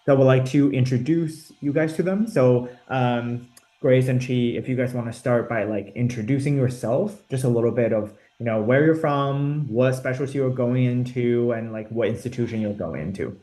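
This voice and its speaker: Guy hosting a video-call panel, mellow warm voice, rambly with lots of ums. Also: medium pitch, moderate pace. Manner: rambling with many fillers and restarts. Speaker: host and moderator. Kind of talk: moderating an online panel.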